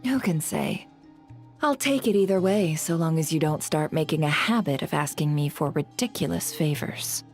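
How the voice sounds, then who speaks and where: A woman's voice: low voice, expressive, moderate pace, a little mellow, soft background music. A woman voicing a cool, shrewd female boss in a video game, dry, teasing and languid. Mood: wry. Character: cool, wry businesswoman boss, shrewd and unhurried. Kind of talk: English video game voice acting.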